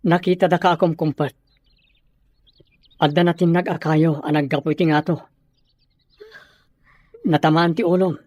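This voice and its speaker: A man's voice: fairly high pitch, level tone, normal speed, long pauses, darkish tone, no echo, natural voice. A man plays a helpful young country brother in an audio drama. He sounds earnest and concerned, recorded very close and dry. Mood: reassuring.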